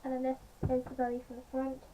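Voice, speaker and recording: A woman with a slightly croaky voice, chatting casually in a home vlog with many pauses and fillers. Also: high voice, completely flat delivery, warm tone. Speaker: vlogger. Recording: distant mic, faint background noise.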